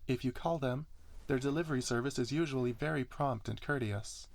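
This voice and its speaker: A man voicing a polite, earnest young female service robot, speaking softly in formal, wordy sentences for an ASMR story reading. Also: mid-range voice, brisk, gravelly, voice pushed up, lightly acted. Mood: apologetic, courteous. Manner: verbose, courteous, formal.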